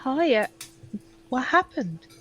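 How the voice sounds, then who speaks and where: Higher-voiced woman player asking questions. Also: sing-song, a bit bright, highs cut off, faint music behind. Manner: quick, direct questions. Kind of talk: in-character table talk.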